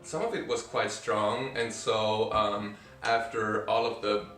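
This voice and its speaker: A man vlogging, chatty and animated as he tells a funny story to the camera. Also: fairly low pitch, reverberant, distant mic, soft background music, rough recording. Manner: chatty, animated, doing quick impressions of others. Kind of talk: casual storytelling vlog.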